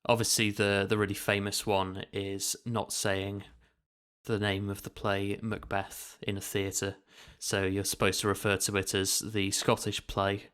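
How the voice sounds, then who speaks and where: A man's voice: lowish voice, monotone, measured pace, fairly bright tone, clean tone. A man co-hosting a casual podcast, explaining things in a hedging, conversational way. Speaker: podcast co-host.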